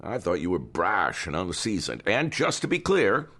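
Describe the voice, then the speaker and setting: A man playing an older theater owner in an audio drama, formal and candid, speaking with measured authority. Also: deep voice, huge pitch swings, fairly bright tone, exaggerated voice. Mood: candid, approving. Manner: measured, formal, patronizing yet appreciative.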